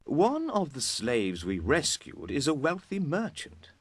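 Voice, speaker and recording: A man playing a dutiful, wry mercenary officer in a video game, delivering a deliberate military briefing. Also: on the high side, huge pitch swings, slightly rough, dry recording, full character voice. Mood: wry, reporting. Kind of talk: video game cutscene acting.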